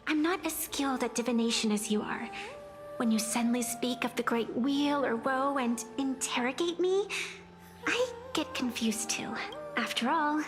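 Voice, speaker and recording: A woman acts out a rabbit-eared fey arbitrator from an anime game. The voice is high and girlish, with a grandiose, riddling manner that is both aloof and playful. Music plays clearly underneath. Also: normal speed, natural voice.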